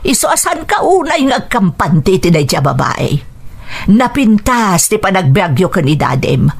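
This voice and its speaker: A woman's voice: medium pitch, big pitch swings, moderate pace, gravelly, slightly rough, exaggerated voice. Suspicious grandmother in a radio drama. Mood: disapproving, suspicious. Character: disapproving, suspicious grandmother of a young boy. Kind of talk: Ilocano radio drama acting.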